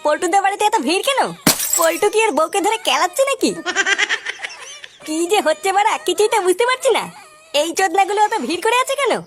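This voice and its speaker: A brash, nosy little cartoon boy played by a woman with an extremely high voice. The kid rattles along quickly, teasing and swearing. There is a little noise behind the voice. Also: animated. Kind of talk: crude comedy cartoon dubbing.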